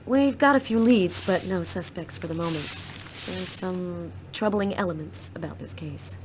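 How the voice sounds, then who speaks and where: A woman playing an analytical, measured police detective in a video game. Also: lowish voice, noisy background, natural voice. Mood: businesslike.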